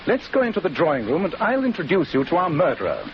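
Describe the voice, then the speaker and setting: Precise, animated English detective. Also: male voice, fairly high pitch, fast. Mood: accusing. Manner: precise, authoritative, theatrical. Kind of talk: 1940s radio acting. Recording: muffled, noisy 1940s radio recording.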